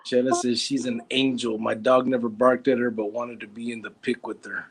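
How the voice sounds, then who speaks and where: Forties man, gravelly husky voice, teasing livestream host reading chat. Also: mid-range voice, level tone, moderate pace. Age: 40s. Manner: joking, teasing, reads comments aloud.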